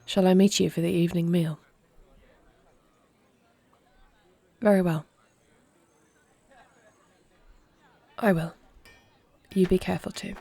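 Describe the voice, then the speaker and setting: A woman's voice: on the low side, fairly even intonation, fairly quick, gravelly, warm tone, breathy edge. A woman playing a proud, dutiful young-married warrior princess who can shift into a dragon, speaking formally in a scripted audio roleplay. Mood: troubled, resolute. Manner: formal, regal phrasing. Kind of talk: scripted audio roleplay acting, one side of a dialogue.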